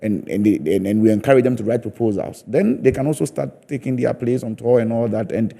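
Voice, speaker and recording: A man's voice: mid-range voice, a little fast, vocal fry, dark tone. A man with a Ghanaian accent speaking conversationally as a guest in a TV interview, often repeating phrases for emphasis. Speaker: theatre play maker and comedy performer, interview guest.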